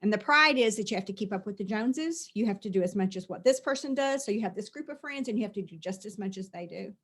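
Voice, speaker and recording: A woman's voice: mid-range voice, expressive, quick pace. A middle-aged woman, a spiritual teacher, talking earnestly through a release session, often repeating and listing phrases.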